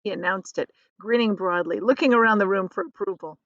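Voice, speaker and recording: A woman's voice: mid-range voice, a little fast, a bit bright, no room sound. A woman reading a children's story aloud expressively, like a teacher. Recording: slightly dull, lo-fi audio.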